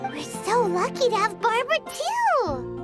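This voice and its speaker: A woman voicing a perky, cheeky, tiny childlike fairy companion in an anime game, bubbly and squeaky. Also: wildly swooping pitch, measured pace, heavily acted. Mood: gleeful. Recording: loud tinkly music behind the voice.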